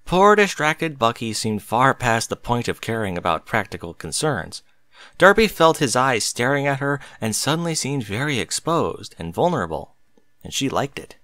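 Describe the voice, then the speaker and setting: A man narrates a scripted story expressively. His pitch moves around a lot from phrase to phrase, and the recording is dry with hardly any room in it. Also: very animated, normal speed, a bit bright, no room sound.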